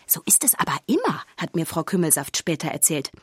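An actress playing a chatty, easygoing castle maid who narrates a children's audio drama in a confiding, conversational way. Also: female voice, low-pitched, big pitch swings, fairly quick, breathy edge, dry recording, whispered, exaggerated voice. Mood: explaining. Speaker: first-person narrator. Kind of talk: scripted storytelling for children.

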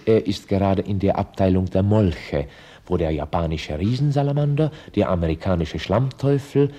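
An actor playing a dry, ironic narrator, reading scripted radio play narration like a documentary report. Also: male voice, sits low, normal speed, dark tone. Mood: dry, reportorial.

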